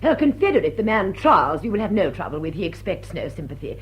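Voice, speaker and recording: Haughty society matron for stagey radio drama; stern, emphatic, animated intonation. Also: female voice, fairly low pitch, fast, breathy edge, exaggerated voice. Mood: angry, resolute. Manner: imperious, emphatic. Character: indignant, haughty English society lady, stern hostess.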